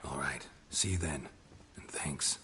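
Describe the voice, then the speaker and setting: An actor playing a gruff, stoic witcher in a video game, with a gravelly voice and laconic, deadpan delivery. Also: unhurried, long reverb tail, a little hiss or hum, exaggerated voice. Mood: low, sincere. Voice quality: deep, gravelly.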